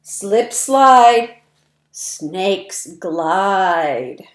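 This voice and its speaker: A woman in her fifties reading a picture book aloud to young children, stressing words deliberately and expressively. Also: mid-range voice. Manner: slow, deliberate, expressive word emphasis. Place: indoor room at home, by windows. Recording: roomy, echoey webcam sound.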